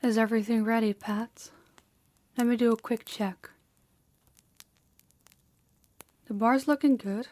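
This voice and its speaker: A woman's voice: a little high, fairly even intonation, normal speed, a little mellow, no echo, close to an everyday voice. A woman playing a dominant mafia boss in an audio roleplay, stern and condescending, giving orders and patronizing praise. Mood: exacting, impatient. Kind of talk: audio roleplay addressed to the listener.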